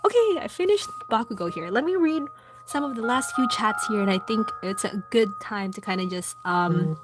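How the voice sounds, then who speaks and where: A woman's voice: on the high side, animated, moderate pace. Chatty Filipino woman streaming over music. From Philippines. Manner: chatty, soft, lots of ums, reads chat aloud. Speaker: livestream host. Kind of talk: casual chat while drawing. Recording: background music.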